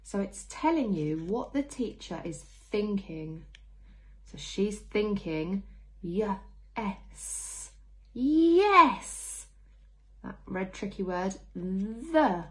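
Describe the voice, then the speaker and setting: Very slow-paced young woman teaching phonics. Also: medium pitch, animated, dark tone. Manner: sounds out words letter by letter, asks the children questions. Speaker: primary school teacher. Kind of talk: read-along phonics lesson for young children.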